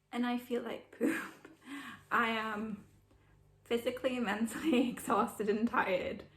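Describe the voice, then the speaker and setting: A woman's voice: a little high, creaky, a bit bright. A woman vlogging at home, chatty and rambling, sniffly with a sore throat. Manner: chatty, rambling, self-deprecating. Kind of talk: casual chat to camera. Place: home room indoors. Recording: roomy echo.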